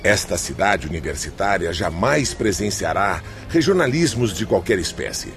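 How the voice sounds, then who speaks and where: An actor playing a dignified, lofty poet's spirit in a Brazilian radio drama, with a pompous, declamatory manner. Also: male voice, low voice, big pitch swings, moderate pace, a bit bright, exaggerated voice. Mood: firm. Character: Vitor Hugo, dignified, lofty poet spirit. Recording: music under the voice.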